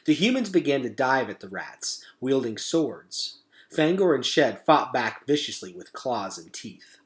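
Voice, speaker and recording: Animated man reading an adventure story aloud. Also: medium pitch, unhurried. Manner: animated, suspenseful delivery. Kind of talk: dramatic children's novel read-aloud.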